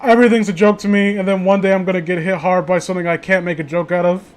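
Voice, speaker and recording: A man's voice: high voice, little pitch movement, racing. A man chatting casually as a host, rambling, blunt and opinionated, with frequent swearing. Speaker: host of a casual group chat.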